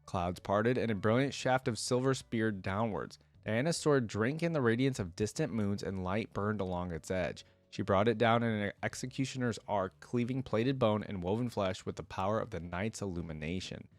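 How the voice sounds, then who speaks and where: A man's voice: lowish voice, moderate pace, hardly any pauses, dry recording. A man hosting a reaction video, reading a fantasy story aloud and adding casual fan commentary.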